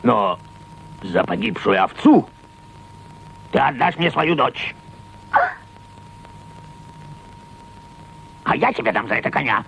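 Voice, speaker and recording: An actor voicing a greedy, bad-tempered rich landowner in an animated film, blustering and muttering. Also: male voice, medium pitch, normal speed, gravelly, slight background noise, low-quality recording. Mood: bossy. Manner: blustering, exclaiming, muttering to himself. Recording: music behind an old cartoon soundtrack.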